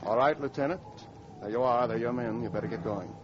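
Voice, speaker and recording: An actor playing a stern, weary, protective Army captain in a dramatic 1940s radio style. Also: male voice, mid-range voice, fast talker, crowd noise, natural voice. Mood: firm. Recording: muffled, noisy old radio recording.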